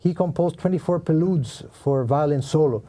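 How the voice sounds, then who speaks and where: A man's voice: a little high, warm tone. Earnest man with a delivery. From Italy. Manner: earnest, slightly halting non-native phrasing. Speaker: guest violinist. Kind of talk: studio interview answers.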